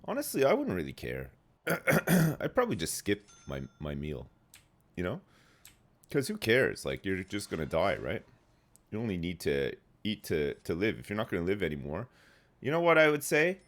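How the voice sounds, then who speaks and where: A man's voice: low voice, wildly swooping pitch, normal speed, a bit bright. A man in his thirties streaming a game live, phlegmy and rambling as he answers chat. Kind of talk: casual live gameplay chat.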